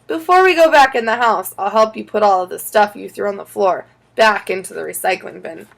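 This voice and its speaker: A woman playing a brisk, caring mother of a schoolchild in a children's audiobook. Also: medium pitch, vocal fry. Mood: kind.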